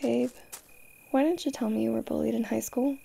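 Woman doing a tough protective girlfriend for an asmr roleplay, defiant but loving, kinda high voice, lots of fry. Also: fairly even intonation, a little fast, dark tone, exaggerated voice. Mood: tender, protective. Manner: tough-talking, speaking directly to listener.

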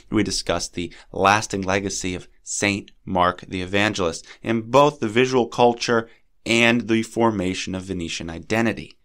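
A man hosting a podcast, narrating art history in a measured, lecturing way, his voice a little scratchy and sniffly from a cold. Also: lowish voice, fairly bright tone, clean tone. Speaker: podcast host.

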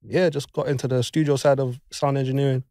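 A man's voice: medium pitch, steady tone, fast talker, mellow, no room sound. A man talks as a podcast guest, easygoing and brief, with plenty of slang. His voice sits in a middle range. The recording is dry. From West London. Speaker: podcast guest, audio engineer. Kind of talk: casual chat.

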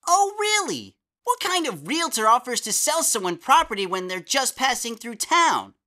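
A woman's voice: fairly high pitch, big pitch swings, moderate pace, sharp tone. A woman voicing a sarcastic, fiercely loyal little male guardian creature in a cartoon, snappy and incredulous. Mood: suspicious, annoyed. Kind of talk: animated web series dialogue.

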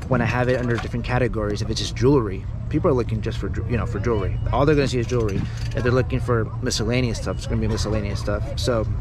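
A man in his thirties talks loosely to his viewers from an outdoor flea market and restarts his sentences as he goes. His voice sits at an ordinary middle pitch. Clear outdoor noise runs behind him. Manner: chatty, rambling, restarts often, laughs easily. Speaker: flea market reseller vlogger. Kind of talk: casual vlog talk to viewers. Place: outdoor flea market parking lot, in a parked truck.

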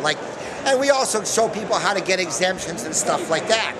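A man giving an animated speech at an outdoor expo, telling stories and acting out the people he quotes. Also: high voice, brisk, poor audio. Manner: storytelling, acting out quoted officials in raised voices. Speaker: speaker at an expo. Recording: loud crowd and background noise outdoors.

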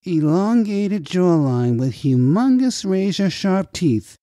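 A man's voice: sits high, big pitch swings, slow, dark tone, no room sound, clean studio sound, voice pushed up, exaggerated voice. A man voicing a leering, sarcastic, sceptical agency director in an audiobook, with a mocking drawl. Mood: mocking scepticism. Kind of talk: audiobook character voice.

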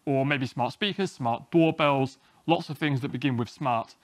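A man, giving a relaxed, self-deprecating technical talk at a small meetup, often restarting his sentences. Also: mid-range voice, moderate pace, no room sound. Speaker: conference speaker. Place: small meeting room, indoors.

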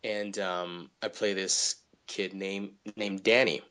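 A man talks through his voice-acting work in a relaxed interview with lots of energy. His voice sits somewhat low but has a bright, forward ring. He is a lively, animated storyteller. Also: slow pace. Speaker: voice actor guest. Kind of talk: casual interview chat.

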